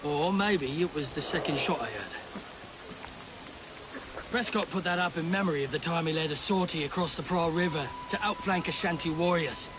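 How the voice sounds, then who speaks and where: Male actor as Victorian ex-soldier, somewhat high voice, plain-spoken, earnest, short statements, video game, rain noise. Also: moderate pace, close to an everyday voice. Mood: sombre, respectful. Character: loyal, plain-spoken male Victorian army veteran and witness. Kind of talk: video game dialogue acting.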